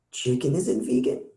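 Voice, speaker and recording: A man's voice: on the high side, full character voice. A man playing a dim, arrogant young vegan rock musician with dopey dude talk in a table read, recorded in a roomy echo. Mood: alarmed disbelief. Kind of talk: amateur screenplay table read. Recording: roomy echoing home mic.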